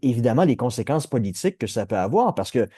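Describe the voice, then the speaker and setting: Mellow-voiced Québécois man, discursive. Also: medium pitch, big pitch swings, fast, husky, dry recording, clean studio sound. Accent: Québécois French. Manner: discursive, frequent restarts and hesitations. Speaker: podcast host. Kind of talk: analytical political discussion.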